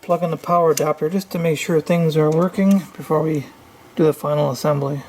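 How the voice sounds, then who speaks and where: A man giving an unscripted, hands-on repair walkthrough, thinking aloud in a halting, tentative way. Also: fairly high pitch, fairly even intonation, a little mellow, low-quality recording. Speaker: repair tutorial host.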